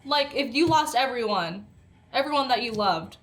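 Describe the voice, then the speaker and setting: Woman, tabletop player, high voice, animated intonation, casual in-game banter. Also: normal speed. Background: faint background music.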